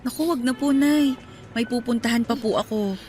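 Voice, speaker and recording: A woman's voice: a little high, normal speed, darkish tone, faint music behind, close to an everyday voice. A young woman acting the part of a caring grieving fiancée in a radio drama, talking in a respectful, reassuring way. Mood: gentle. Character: devoted young widowed fiancée, caring and polite.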